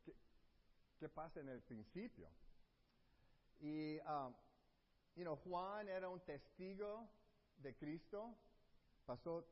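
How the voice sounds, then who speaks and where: Slow preacher in a reverberant hall. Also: male voice, fairly high pitch. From Texas, USA. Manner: mixes English fillers into Spanish, warm and earnest. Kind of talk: sermon. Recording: dull lo-fi audio with long hall reverb.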